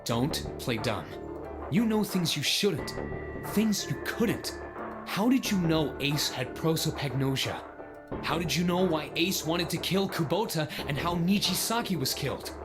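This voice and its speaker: A man's voice: on the high side, lively intonation, measured pace, over music. A man voicing a hard, confrontational young man in a visual novel, clipped and demanding. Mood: accusing.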